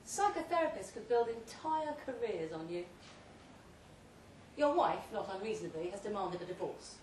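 A woman giving an emphatic, deliberately phrased dramatic reading of a second-person short story at a live event. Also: medium pitch, a little mellow. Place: indoor pub event, before an audience. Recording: roomy live pub recording, distant mic, faint noise.

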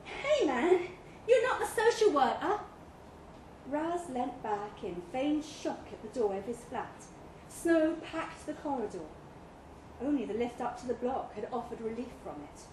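A woman narrates a short story live in an echoey pub room. Her voice is high and her phrasing slow and measured, with clear noise behind her. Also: lively intonation. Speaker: story reader. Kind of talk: scripted literary narration, read live. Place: indoor live reading event in a pub.

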